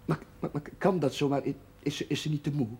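Hesitant, gentle chaplain played by a man. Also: on the high side, fairly even intonation, a little hiss or hum, natural voice. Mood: flustered, concerned. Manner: halting, repeats his words, deferential. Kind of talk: naturalistic television drama dialogue.

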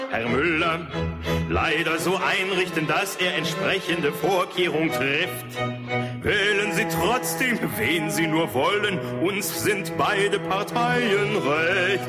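A man performs political satire over prominent musical accompaniment. He moves between singing and spoken patter in an unhurried, slightly high voice, sounding smug and sardonic. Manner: sardonic, addressing the listener as a smug capitalist. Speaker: satirical singer-songwriter. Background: musical instrument accompaniment.

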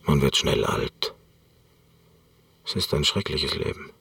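An actor playing a world-weary, disillusioned former concert performer, brooding and gravelly, in a radio play monologue. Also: male voice, rumbling low, lively intonation, takes it slowly, fairly bright tone, heavily acted. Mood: weary, bitter. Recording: distant mic, music behind.

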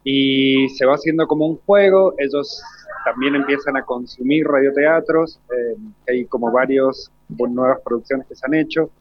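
A man's voice: medium pitch, fairly even intonation, normal speed, dry recording. A male teacher with an Argentine accent, explaining things articulately in an interview. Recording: dull, dry radio sound.

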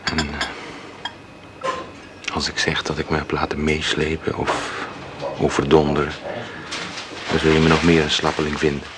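A man's voice: very deep voice, completely flat delivery, normal speed, pauses often, background noise. An actor playing a remorseful young male artist in a radio drama, speaking earnestly and a little hesitantly. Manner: earnest, hesitant, then eager.

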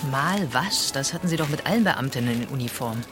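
Cold deadpan lady detective, clipped and sardonic, radio play w faint music. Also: extremely low pitch, fairly quick. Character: icy, sardonic female chief detective. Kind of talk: German radio drama acting.

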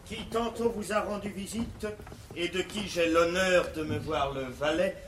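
An actor playing an obsequious manservant of a scholar, stilted and deferential, in classical French verse comedy. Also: male voice, high voice, fairly even intonation, measured pace, a bit bright. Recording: distant, echoey, noisy 1950s theatre recording.

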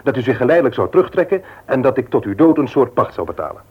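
A man's voice: mid-range voice, sing-song, quick pace, slightly rough. A man acting in a radio play as a firm, frank nephew, formal and argumentative. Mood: firm, indignant. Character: firm, frank married nephew running his uncle's business. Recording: dull, lo-fi old radio recording.

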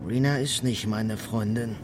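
An actor playing a stoic young country man in a radio drama, terse and deadpan. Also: fairly low pitch, a little slow, background noise, natural voice. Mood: stoic, indifferent. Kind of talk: naturalistic radio drama acting.

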